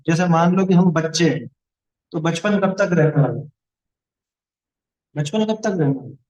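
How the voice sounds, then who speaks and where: A man's voice: high voice, a little mellow, low-quality recording. A man teaching a religious studies lesson to a class of children, explaining points and asking the class questions.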